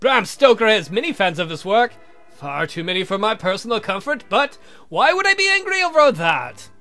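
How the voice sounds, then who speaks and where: A man's voice: sits high, very animated, moderate pace, pushed and bright, faint music behind, full character voice. A man voicing a suave, haughty vampire count from a visual novel, speaking in a formal, courtly, pompous way. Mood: smooth, polite. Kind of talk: theatrical visual novel character reading.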